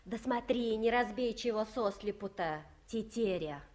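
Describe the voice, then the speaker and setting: An actress playing a gossipy young village wife in a 1950s radio play, talking in folksy rural speech and giggling often. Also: female voice, fairly high pitch, measured pace, a little mellow, natural voice.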